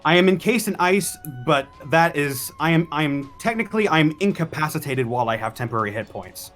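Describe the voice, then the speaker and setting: Animated, higher-voiced man playing a tabletop game. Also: fairly quick. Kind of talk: casual game table chat. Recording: music playing behind the voice.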